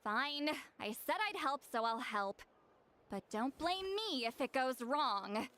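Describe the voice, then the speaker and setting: A woman voicing a proud, sharp-tongued shaman in a video game, with a haughty, teasing, dramatic delivery. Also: high-pitched, animated, takes it slowly, full character voice. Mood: smug, teasing. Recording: music behind the voice.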